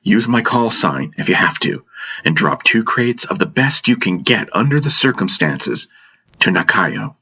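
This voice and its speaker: A man's voice: lowish voice, big pitch swings, sharp tone, slightly rough, full character voice. A man voicing an insistent, commanding stranded army major bargaining urgently in an audiobook. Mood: insistent, persuasive. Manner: insistent, emphatic, halting when pleading. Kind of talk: dramatic audiobook dialogue. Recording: music behind the voice.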